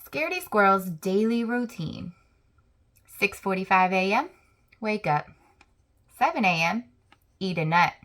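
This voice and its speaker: A woman hosting a children's channel, reading a picture book aloud with slow, expressive storytelling. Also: mid-range voice.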